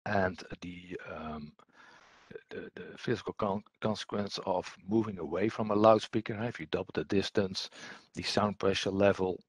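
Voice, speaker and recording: A man giving an explanatory technical presentation as a webinar trainer, speaking in a hesitant, halting way. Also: deep voice, steady tone, slightly rough, no room sound.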